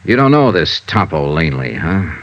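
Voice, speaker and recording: A man's voice: a bass voice, big pitch swings, moderate pace, fairly bright tone, exaggerated voice. An actor playing a hard-boiled, sardonic male investigator in a 1950s radio detective drama, dry and probing. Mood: businesslike. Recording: dull old-time radio recording, music behind.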